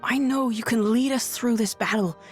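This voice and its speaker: A woman playing a gentle, earnest young monarch in a video game cutscene, speaking politely. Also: a little high, moderate pace, soft background music, close to an everyday voice.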